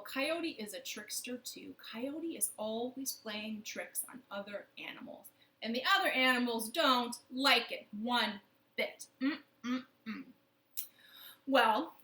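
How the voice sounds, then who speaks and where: A woman's voice: a little high, fairly bright tone, echoey room. A woman leading a children's storytime from her living room, playful and sing-song with rhymes and silly voices.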